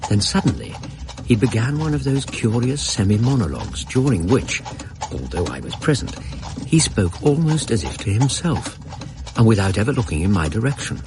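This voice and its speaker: Low, expressive English storyteller. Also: male voice, noisy background. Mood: reflective. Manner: measured, literary storytelling. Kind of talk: scripted radio drama narration. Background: music.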